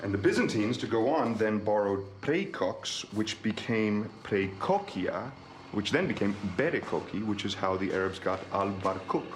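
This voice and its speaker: A man in a film clip, explaining word origins in a quick, lecturing way. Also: fairly low pitch, lively intonation, a little hiss or hum, low-quality recording. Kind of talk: film scene dialogue.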